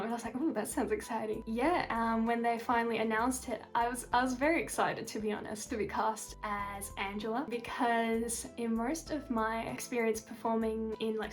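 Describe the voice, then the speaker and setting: A young woman with an Australian accent, a singer answering interview questions in a casual, upbeat, chatty way. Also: on the high side. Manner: chatty, upbeat, frequent "like" and "kind of".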